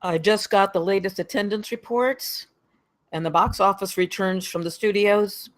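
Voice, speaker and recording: A man's voice: sits high, normal speed, a bit bright. A man reading the part of a weary, apologetic middle-aged studio executive at a screenplay table read. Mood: apologetic, heavy. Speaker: actor at a live table read.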